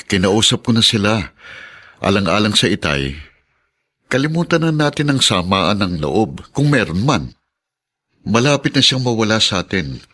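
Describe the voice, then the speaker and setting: An actor playing a responsible eldest son and peacemaking brother in a radio drama, earnest and persuasive. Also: male voice, fairly low pitch, very animated, moderate pace, long pauses, a bit bright. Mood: earnest, urging.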